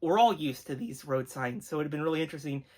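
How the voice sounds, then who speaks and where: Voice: mid-range voice, animated, fast talker, a little mellow, breathy edge. An earnest, appreciative host giving an enthusiastic, conversational review with emphatic delivery. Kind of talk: enthusiastic retro cartoon review, conversational commentary.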